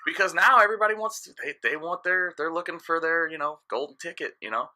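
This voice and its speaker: A man's voice: on the high side, quick pace, sharp tone. A man in his late thirties, a DJ guest in casual podcast chat, talking frankly and rambling, often trailing off and restarting. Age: 30s.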